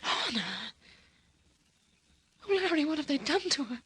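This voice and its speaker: A woman's voice: sits high, animated, a little slow, fairly bright tone. An Australian actress playing an anxious, earnest young woman in an old-time radio thriller, speaking in a breathless, dramatic, insistent way. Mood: alarmed.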